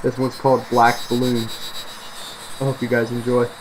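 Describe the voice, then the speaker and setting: A man's voice: mid-range voice, monotone, moderate pace, rough recording. A man reading a creepy story aloud as scripted narration for online listeners. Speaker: storyteller, online video creator. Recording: faint background noise.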